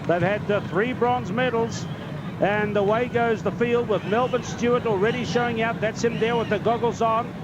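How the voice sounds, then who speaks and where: A man calling a swimming race live with excitement, on an old sports broadcast. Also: very high-pitched, normal speed, lo-fi sound. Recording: very noisy archive sports broadcast.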